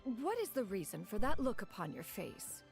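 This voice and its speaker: A woman's voice: mid-range voice, wildly swooping pitch, normal speed, vocal fry. An actress playing an ageless, proud immortal master in a video game, pompous and archaic in her formal speech. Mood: aloof, dismissive. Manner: pompous, archaic, formal, calls herself "one". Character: ageless proud immortal female master, haughty yet fond. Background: music.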